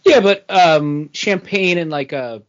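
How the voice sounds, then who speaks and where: A man co-hosting a podcast, telling things casually in a halting, conversational way. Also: a little high, lo-fi sound. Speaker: podcast co-host. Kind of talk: casual chat, telling anecdotes.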